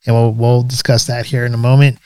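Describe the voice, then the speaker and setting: This is a man co-hosting a relaxed podcast, his voice smooth and resonant. He talks in a halting way, filling gaps with "uh" and "um". The recording is dry and close, with no room sound. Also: medium pitch, dry recording. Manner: hesitant, many "uh" fillers. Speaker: podcast co-host. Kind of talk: casual podcast chat.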